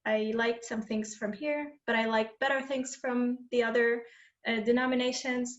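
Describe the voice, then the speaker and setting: A woman from Palestine speaks in a flat, measured way, pausing often with fillers as she thinks aloud. Her voice comes over a dull, echoey video call line. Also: fairly high pitch. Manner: thoughtful, halting with fillers. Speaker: panel guest. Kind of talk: panel discussion answer.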